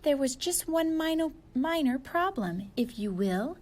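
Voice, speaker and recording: A woman reading a children's story aloud in a playful, expressive way, leaning on words for emphasis. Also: high-pitched. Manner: animated, playful, drawn-out emphasis. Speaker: storybook reader.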